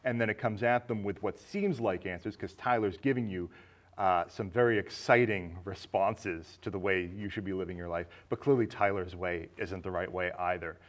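Guy in his 30s hosting a movie talk show, lowish voice, casual chatting. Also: moderate pace. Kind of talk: casual film discussion. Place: small indoor studio set with curtains.

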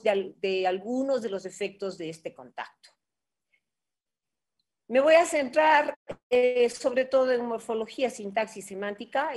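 Woman, middle-aged, Ecuadorian Andean accent, fairly high voice, online lecture, patient explanatory delivery, webcam audio. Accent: Ecuadorian Andean Spanish. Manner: measured, explanatory, with frequent fillers and rhetorical tags. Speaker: linguistics lecturer. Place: home office with bookshelves. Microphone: webcam on a video call.

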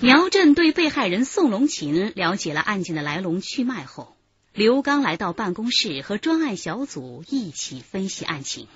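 A woman's voice: mid-range voice, sing-song, normal speed, slightly rough, no room sound. A woman delivering scripted narration for a radio drama. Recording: dull radio recording with music behind.

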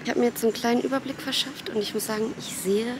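A young woman vlogging casually and excitedly while browsing plants in a large garden center, sometimes dropping to a whisper. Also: fairly high pitch, racing, over music. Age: young adult. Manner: excitable, chatty, often whispering asides. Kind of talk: casual shop-with-me vlog. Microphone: handheld phone camera.

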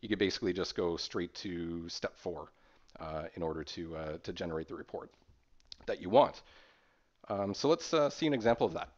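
A man presenting an informal screen-share software tutorial in a hesitant, explanatory way. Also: low voice, normal speed. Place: voice-over recorded over screen capture. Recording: dull, muffled lo-fi audio.

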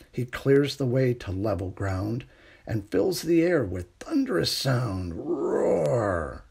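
A middle-aged man reading a children's picture book aloud in a gentle, unhurried bedtime manner, with a husky, creaky voice. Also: mid-range voice. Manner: gentle, unhurried bedtime delivery with hushed refrains. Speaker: storytime reader.

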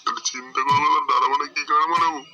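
A man's voice: a little high, steady tone, racing, muffled recording, close to an everyday voice. Cartoon grandfather played by a man, cranky, crude, grumbling, foul-mouthed, music underneath. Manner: cranky, swearing, complaining. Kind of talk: comedy cartoon dialogue.

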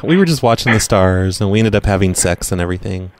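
A man's voice: low voice, quick pace, darkish tone, slight background noise. A man making a casual prank phone call, talking in a nonchalant, insistent, innocent-sounding way. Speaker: prank caller.

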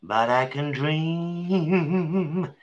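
A man's voice: fairly high pitch, very slow, highs cut off. An older man co-hosting a live cooking stream from his home kitchen, with dry, teasing banter. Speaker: co-host and cook. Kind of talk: casual live-stream chat.

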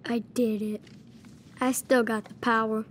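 An actor voicing a young boy putting on a lower, mock-heroic voice for his pretend superhero. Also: level tone, measured pace, a bit bright, a little hiss or hum. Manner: deepened, mock-heroic boast. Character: boy's made-up gruff hero voice.

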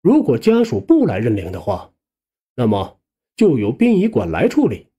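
A man narrating a scripted first-person suspense audiobook in a conversational, expressive way. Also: medium pitch, moderate pace, slightly rough.

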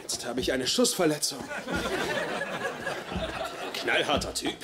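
A man's voice: mid-range voice, slow pace, over a noisy crowd, close to an everyday voice. A man acting a nervous, self-deprecating, apologetic young boyfriend in a dubbed sitcom. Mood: nervous, wry. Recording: noisy TV sitcom soundtrack.

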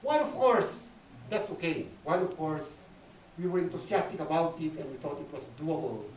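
A man's voice: on the high side, big pitch swings, a little fast, a little mellow. A man with a Filipino accent giving a live church conference presentation, emphatic and repeating his phrases. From Philippines. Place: dim indoor conference room. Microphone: camera in the audience, at a distance. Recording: roomy, distant camera mic with noise.

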